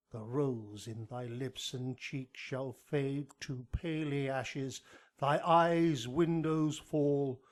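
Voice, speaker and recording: An actor playing a solemn, fatherly friar in Shakespearean radio drama, with a grave, measured delivery. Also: medium pitch, darkish tone. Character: solemn, fatherly friar counselling a young woman.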